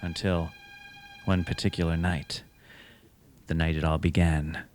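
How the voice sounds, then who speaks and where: An actor playing a grave, dramatic narrator of a ghostly sea tale in a scripted radio drama. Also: male voice, sits low, little pitch movement, unhurried, a little mellow. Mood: ominous, measured.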